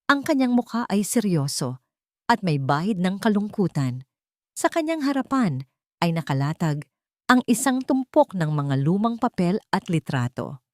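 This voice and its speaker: A woman's voice: medium pitch, big pitch swings, normal speed, no echo, studio quality. A woman reading a scripted romantic drama as a story narrator.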